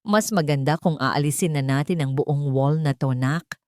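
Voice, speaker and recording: A well-off older mother from a romantic audio drama, put on by a woman narrator. She is breezy and demanding, in a low voice with a dry recording. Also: normal speed, a little mellow, dry recording, natural voice. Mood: cheerful, bossy.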